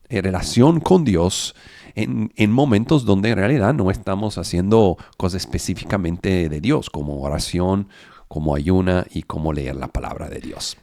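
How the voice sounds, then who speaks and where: A man preaching in Spanish, which is not his first language, speaking haltingly and often correcting himself. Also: medium pitch, vocal fry, darkish tone, dry recording, studio quality. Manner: halting, with fillers and self-corrections. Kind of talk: online sermon.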